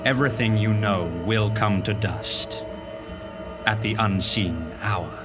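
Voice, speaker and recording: Solemn doomy storyteller, kinda deep, grand dramatic narration w music under it. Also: male voice. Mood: ominous. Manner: portentous, deliberate.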